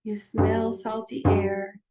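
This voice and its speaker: A woman teaches shamanic practice over a video call. She explains candidly and chattily, then slows into calm, steady guidance for a meditation journey over a drum beat. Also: mid-range voice, loud music behind the voice. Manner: chatty and candid when explaining, with soft laughs.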